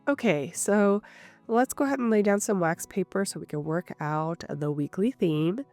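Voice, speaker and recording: Woman, nasal and stuffy, slightly rough, calm explanatory voice-over, faint guitar music behind. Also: lowish voice, moderate pace. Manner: calm, explanatory, thinking aloud. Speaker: planner channel host. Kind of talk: voice-over narration of a craft session. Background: soft guitar music.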